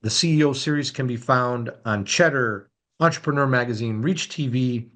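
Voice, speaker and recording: A man's voice: mid-range voice, moderate pace, fairly bright tone. Effusive male podcast host. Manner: effusive, repeats words for emphasis. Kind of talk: podcast intro and interview.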